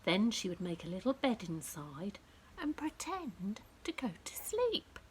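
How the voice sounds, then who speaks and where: A woman reading a picture book aloud to young children, speaking directly to them in an expressive storytelling style that often drops to a hush. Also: medium pitch, unhurried, slight background noise. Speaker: children's story reader and presenter.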